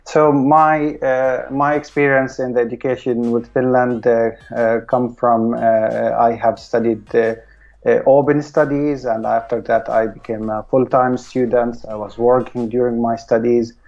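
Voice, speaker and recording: A man's voice: mid-range voice, little pitch movement, unhurried, gravelly, a little mellow, lo-fi sound. Hesitant man hosting a live stream. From Palestine. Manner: hesitant, many filler sounds. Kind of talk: casual live stream talk.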